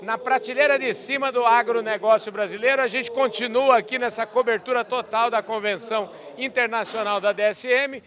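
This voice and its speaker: Excitable high-voiced male show host. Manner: enthusiastic, rambling, repeats himself. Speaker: podcast host. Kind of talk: event coverage interview. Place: outdoors at a convention.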